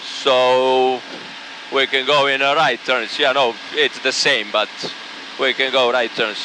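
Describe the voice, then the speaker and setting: A man chatting in the cockpit as a pilot, relaxed and halting, repeating words as he guides a companion. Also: mid-range voice, noisy background. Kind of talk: casual cockpit talk.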